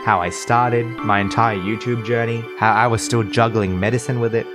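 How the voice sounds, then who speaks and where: A young man telling scripted personal stories as a YouTube storyteller, expressive and self-deprecating. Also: lowish voice, normal speed. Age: young adult. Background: background music.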